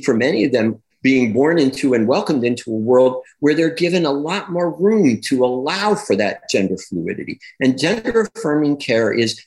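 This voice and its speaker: A man talking through his ideas as an interview guest, thoughtful at first and restarting phrases as he goes. As he warms up he gets lively and expressive, his pitch lifting with each point. He sits at an ordinary middle pitch for a man. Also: rough recording. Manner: reflective, with repeated phrases and restarts. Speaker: guest, clinician and educator on gender. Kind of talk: conversational interview answer.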